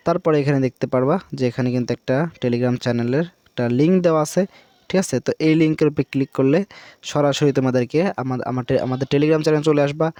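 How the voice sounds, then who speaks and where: A man's voice: mid-range voice, mellow, no echo. A man with a Bangladeshi accent hosting a casual, unscripted tutorial, repeating himself and often checking in with short tag questions. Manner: repetitive, frequently seeking confirmation with tag questions. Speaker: tutorial video host.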